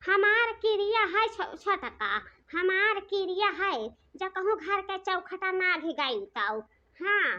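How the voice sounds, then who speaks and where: A performer voicing a scolding, pleading village woman in a comedy cartoon, with an eastern Hindi-belt accent, coaxing and repeating herself through a pitch-raising effect. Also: slow, sharp tone, full character voice. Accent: eastern Hindi belt (Bhojpuri-Awadhi region). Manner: nagging, coaxing, repetitive. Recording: dull lo-fi processed audio.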